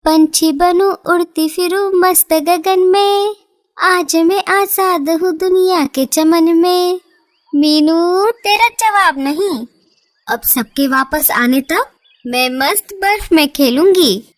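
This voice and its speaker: A woman voicing cartoon birds, speaking in a slow, sing-song storybook way. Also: very high-pitched. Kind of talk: cartoon dialogue acting.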